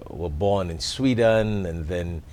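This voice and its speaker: A man's voice: deep voice, slow pace, a little mellow, a little hiss or hum. A man with a Trinidadian accent hosting an interview in a television studio, speaking with fillers and restarts. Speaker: talk show host.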